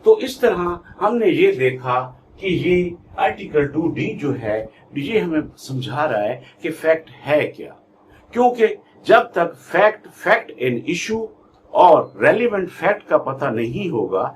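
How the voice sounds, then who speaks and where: A man with a Pakistani accent giving an instructional lecture in a deliberate, emphatic way, repeating key terms. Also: fairly high pitch, sing-song. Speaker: law lecturer, YouTube channel host. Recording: faint background noise.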